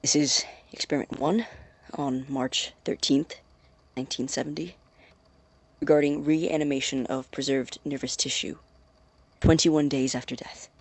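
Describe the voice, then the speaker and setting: An actor playing an obsessive scientist in an audio drama, dictating a log in a clinical, precise but halting husky voice. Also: male voice, mid-range voice, steady tone, no echo. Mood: focused, expectant. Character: obsessive male scientist logging unethical experiments on tape.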